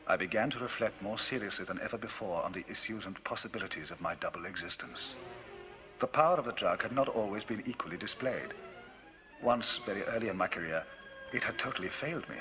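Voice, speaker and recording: A man giving a dramatic, theatrical reading of a classic novel as the narrator of a vinyl audiobook, his pitch and loudness rising and falling widely. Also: fast. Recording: vinyl audiobook with music bed. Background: music.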